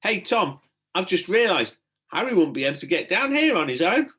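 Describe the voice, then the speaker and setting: Man doing a bold young girl, raised pitch. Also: sing-song, moderate pace, bright, forceful tone, exaggerated voice. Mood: calling out. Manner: calling out, exclaiming. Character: bold young girl, older sister, bossy adventurer.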